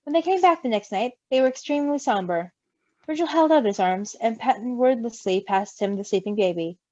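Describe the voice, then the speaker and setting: A woman reading a story aloud expressively, with an animated, giggly manner. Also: fairly high pitch, fairly quick, no echo. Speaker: story reader. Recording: slightly dull, close dry mic.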